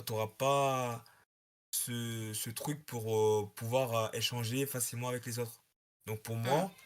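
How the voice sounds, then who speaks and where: Man explaining calmly on a voice call. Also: mid-range voice. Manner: reflective, explaining slowly step by step. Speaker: guest sharing his experience. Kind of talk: casual online group discussion.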